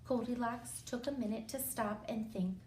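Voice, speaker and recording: A woman's voice: a little high, normal speed, darkish tone, slight background noise. A woman, a schoolteacher, reads a children's story aloud expressively, with emphatic phrasing and wry asides. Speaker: first grade teacher. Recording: roomy echo.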